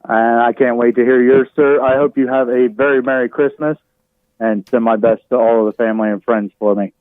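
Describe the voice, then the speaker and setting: A man's voice: mid-range voice, fairly even intonation, a little fast, no room sound. A man who hosts online radio, chatting casually as an interview guest over a remote call. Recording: muffled narrow-band remote call sound.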